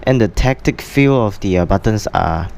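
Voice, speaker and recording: A man presenting a casual, unscripted product unboxing for a vlog, explaining things in a halting way. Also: fairly low pitch, animated, gravelly, darkish tone, a little hiss or hum.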